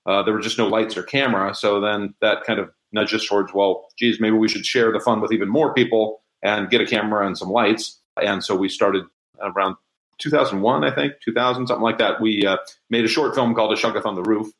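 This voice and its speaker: A man's voice: lowish voice, steady tone, a little fast, a bit bright, rough recording. A middle-aged man speaking as an interview guest, telling a story casually.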